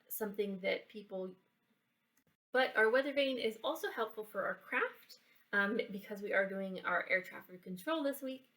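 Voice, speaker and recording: A woman giving a casual how-to science and craft demonstration for children, explaining in a halting way and checking in as she goes. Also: mid-range voice, a bit bright, far from the mic. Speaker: library children's program presenter. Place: indoors, in a library building.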